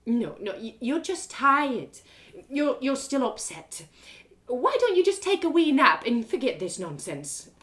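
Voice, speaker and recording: A woman's voice: sits high, very animated, unhurried, fairly bright tone, pitched above the performer's own voice, exaggerated voice. A woman voicing an anxious, domineering village mother in a fantasy story, scolding and insistent. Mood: pleading.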